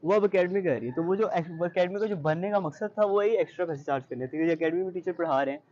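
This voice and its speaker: A man's voice: high-pitched, fast, darkish tone, highs cut off. A young man with a Pakistani accent answering interview questions conversationally, explaining and giving advice with English words mixed in. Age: young adult. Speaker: interview guest, university student.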